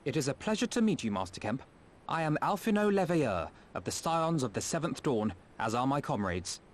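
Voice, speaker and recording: A man's voice: medium pitch, big pitch swings, a little fast, natural voice. A man voicing a polite, earnest young scholar-diplomat in a video game, with formal, courtly, articulate speech. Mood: courteous. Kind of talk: dramatic video game voice acting.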